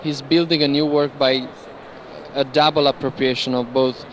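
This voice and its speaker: A man's voice: mid-range voice, level tone, moderate pace, lo-fi sound. A man with a mid-range voice speaks as a curator leading an informal tour. He explains artworks to visitors in a conversational way. There is faint noise from a busy space behind him. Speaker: curator guiding visitors through an art fair. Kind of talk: informal guided art tour talk. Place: large indoor art fair among gallery stands. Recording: noisy fair hall ambience behind voice. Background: busy fair hall background noise.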